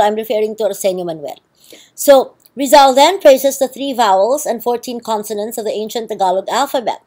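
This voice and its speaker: A woman's voice: mid-range voice, mellow. A woman with a Filipino accent giving a university lecture, explaining with frequent ums and checking okays. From Philippines.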